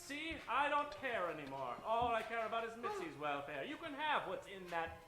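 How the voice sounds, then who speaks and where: An actor playing an earnest, protective pulp adventure hero in a melodramatic old-time radio comedy. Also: male voice, high-pitched, very animated, a little fast, pushed and bright, soft background music, exaggerated voice. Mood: wary, resigned.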